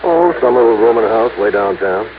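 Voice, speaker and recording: Cocky police lieutenant, muffled old radio. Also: male voice, lowish voice, animated, fairly quick, vocal fry, noisy background. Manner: emphatic, slangy. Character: gruff, confident male police lieutenant, cocky and hearty. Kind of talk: 1950s radio crime drama acting.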